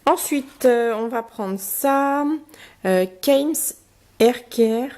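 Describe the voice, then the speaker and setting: A woman with a slightly higher voice talks casually through a beauty box, pausing and filling gaps as she goes. Her nose is a little blocked from a cold, and she sometimes reads out product descriptions. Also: a little mellow. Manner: chatty, hesitant, many fillers, reads product cards aloud. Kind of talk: casual unboxing review.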